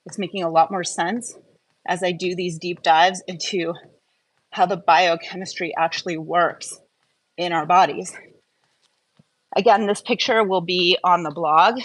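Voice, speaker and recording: A woman's voice: fairly low pitch, fairly bright tone, low-quality recording. A woman hosting a live wellness stream, explaining things informally and step by step in a conversational way. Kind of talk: informal explanatory talk to a live online audience.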